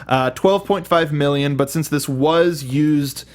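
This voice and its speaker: A man's voice: a little high, takes it slowly. A man co-hosting a film review show, chatting casually and informally with an enthusiastic streak.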